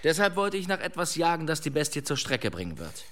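An actor playing a proud, wounded demon hunter in a German historical radio drama, with a defiant, grandiloquent way of talking. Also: male voice, fairly high pitch, very animated, a little fast, a bit bright. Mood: explaining, confident.

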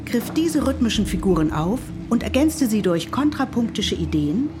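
An actress playing a lecturer who gives a composed talk to an audience, with music behind. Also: female voice, fairly high pitch, big pitch swings, normal speed. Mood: calm. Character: female lecturer addressing a listening audience.

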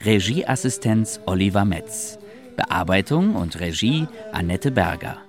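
Male credits announcer, low voice, highly animated melody, guitar music clearly behind him. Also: unhurried. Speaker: announcer of cast and production credits. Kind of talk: scripted radio credits announcement.

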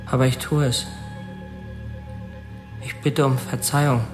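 Radio play: penitent condemned killer male actor, mid-range voice, solemn and halting, faint music behind. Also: medium pitch, little pitch movement, lightly acted. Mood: grave, penitent. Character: solemn young condemned murderer at the gallows, penitent. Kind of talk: radio drama acting.

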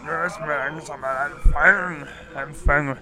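A man playing a pushy, impatient workmate in an absurd audio play, loud and insistently repeating himself. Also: fairly high pitch, steady tone, normal speed, a little hiss or hum. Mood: impatient, urging.

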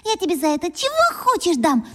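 Very high-pitched impressionable schoolgirl. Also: big pitch swings, brisk, a bit bright, heavily acted. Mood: pleading. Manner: wide-eyed, pleading, exclamatory. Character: naive, easily impressed little schoolgirl. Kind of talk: children's radio drama acting.

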